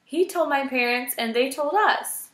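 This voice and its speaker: Lady doing a scared little girl mouse from a storybook, high voice, stammery and pleading, roomy sound. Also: a little slow, a bit bright. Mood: earnest. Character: small timid female mouse, fearful, pleading, earnest. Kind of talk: children's storybook character voice.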